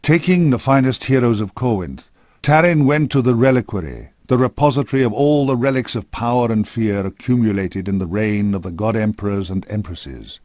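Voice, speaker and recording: Low-voiced man, solemn fantasy narrator. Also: moderate pace, darkish tone, no room sound, clean studio sound. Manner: solemn, formal storytelling. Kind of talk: scripted fantasy game narration. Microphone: close studio microphone.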